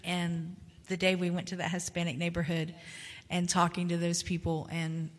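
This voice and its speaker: A woman's voice: on the low side, monotone, moderate pace. A woman sharing a reflection in a group discussion, earnest and thoughtful. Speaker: mission trip member. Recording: long hall reverb.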